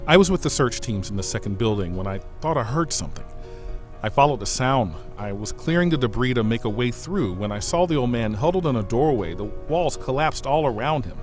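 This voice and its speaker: A man playing a loyal, modest, watchful aide and bodyguard in a science fiction audio drama, speaking in a measured, formal, earnest way. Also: medium pitch, animated, darkish tone, soft background music, natural voice. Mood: sober, reflective.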